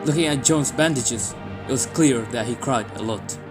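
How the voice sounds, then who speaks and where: A man's voice: mid-range voice, normal speed. Male story narrator over a music bed. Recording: background music under the voice.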